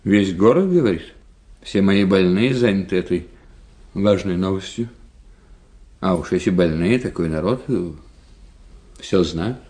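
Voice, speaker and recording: An actor playing a wry, chatty, worldly bachelor doctor in a radio drama, telling things drolly with deliberate hesitations. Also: male voice, deep voice, animated, darkish tone. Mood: prying, gossipy. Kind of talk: Russian radio drama acting.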